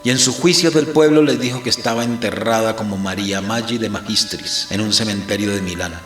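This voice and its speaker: A man plays a captive priest telling his own story in an audio drama, grave and fatalistic. The low voice is measured and theatrical, with noticeable room echo and soft music beneath. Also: fairly even intonation, natural voice. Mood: grave, brooding. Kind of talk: first-person dramatic narration, literary audio fiction.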